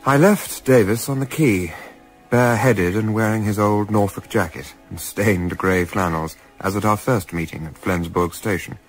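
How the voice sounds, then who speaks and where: A man playing a composed, astute Edwardian gentleman turned amateur spy, speaking formally in a radio play as narrator and lead. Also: fairly low pitch, normal speed, faint music behind, natural voice, low-quality recording. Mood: sombre, reflective. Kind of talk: radio play acting with first-person literary narration.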